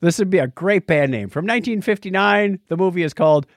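A man's voice: high-pitched, lively intonation, quick pace, no room sound, clean studio sound. A man hosting a podcast in a casual, playful and enthusiastic chatting style. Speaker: podcast host.

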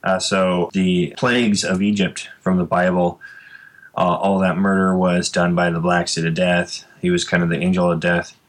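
A man's voice: low-pitched, little pitch movement, moderate pace, low-quality recording. A man in his late thirties, a comic book creator chatting casually as a podcast guest, rambling with many fillers. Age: 30s.

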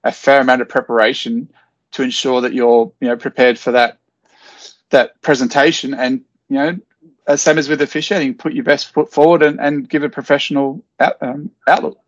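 A man's voice: medium pitch, fairly even intonation, normal speed, no echo. A man hosting a podcast interview, asking short follow-up questions in a casual, friendly way. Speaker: podcast host. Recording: dry, slightly muffled podcast audio.

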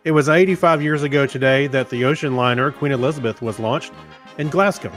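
A man with a medium-pitched voice co-hosts a casual podcast. He reads short items aloud and joins in the joking in an easygoing way, with faint music in the mix. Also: brisk, fairly bright tone. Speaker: podcast co-host. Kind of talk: casual banter mixed with reading history items.

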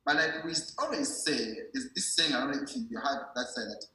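Man, sharp bright voice, teacherly informal explanation, checks understanding, very reverberant room. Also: medium pitch, a little fast. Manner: didactic, repeats and checks understanding. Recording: very echoey room.

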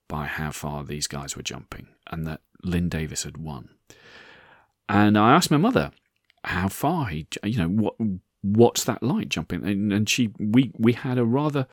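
A hoarse man in his sixties, hosting a podcast and telling a rambling personal story with many repeated words. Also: low voice, a little mellow, dry recording, clean studio sound. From England. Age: 60s. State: hoarse, croaky voice. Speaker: podcast host. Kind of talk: casual anecdotal monologue.

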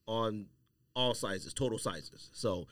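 A man's voice: on the low side, slow pace, fairly bright tone, no room sound. A man hosting a podcast in casual, slangy banter, talking boastfully and with lots of animation. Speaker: podcast host. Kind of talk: casual sports and sneaker banter.

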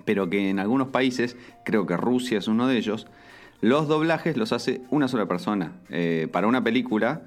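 A man's voice: fairly low pitch, lively intonation, moderate pace, faint music behind. A man in casual podcast chat, rambling with many fillers and restarts.

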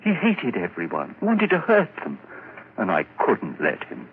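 An actor playing a deranged, gentle male killer who insists he hears everything, in 1940s radio acting. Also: mid-range voice, wildly swooping pitch, measured pace. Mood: pleading. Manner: pleading, rising to frantic insistence. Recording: tinny old radio broadcast with hiss.

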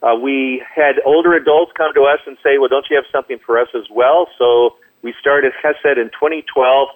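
A man on a phone line explaining ministry programs as an interview guest, in a measured way with hesitant pauses. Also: mid-range voice, steady tone. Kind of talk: explanatory radio interview talk. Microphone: phone call. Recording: tinny, narrow telephone line.

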